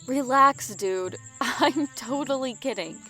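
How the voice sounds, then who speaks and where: An actress plays a carefree young horned woman in a fantasy audio drama. The voice is high and bright, casual and flippant, taking its time between phrases. She sounds like an excitable adventurer. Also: takes it slowly, soft background music, heavily acted. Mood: teasing.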